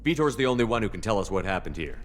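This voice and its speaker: A man's voice: on the low side, big pitch swings, very fast, faint music behind. A man playing a resolute, protective military commander in a video game, speaking directly. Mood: businesslike. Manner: direct, questioning.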